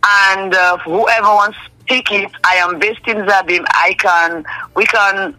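Woman, booking agent, lively radio guest, bright voice, laughs a lot. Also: mid-range voice, slow, low-quality recording. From Dominica. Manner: lively, laughs often, repeats herself. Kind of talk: radio interview, promoting tickets.

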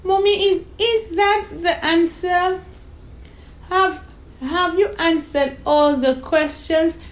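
A woman's voice: extremely high pitch, fairly even intonation, slow pace, voice pushed up. A woman voicing a sweet, eager young girl in a story, hesitant and often stammering over repeated words. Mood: excited, flustered. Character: excited, sweet young girl on her birthday.

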